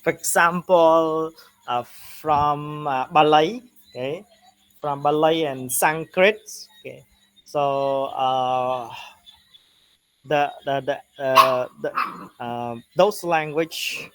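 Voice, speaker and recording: A male lecturer with a Khmer accent talks slowly and unhurriedly, pausing often and filling gaps with "uh" and "okay." His voice sits slightly above the usual male pitch, and he explains things step by step in an online class. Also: a bit bright, smooth, rough recording. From Cambodia. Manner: slow, halting, many "uh" and "okay" fillers.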